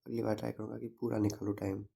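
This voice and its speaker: A young man with a slightly sore throat, talking through his day as a student in an unhurried, reflective vlog. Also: on the low side, level tone, creaky, warm tone, breathy edge. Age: young adult. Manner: unhurried, reflective, mixes English words into the talk. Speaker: engineering student vlogger. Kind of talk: casual daily vlog recap.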